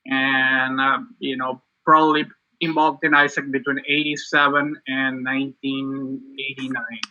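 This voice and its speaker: A man in his fifties from Venezuela introduces himself on a video call in a relaxed, rambling way. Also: medium pitch, a little slow. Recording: dull lo-fi call audio.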